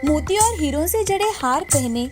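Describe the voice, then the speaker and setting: A woman's voice: high voice, animated, normal speed. A woman narrating a moral story in a scripted storytelling style. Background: music.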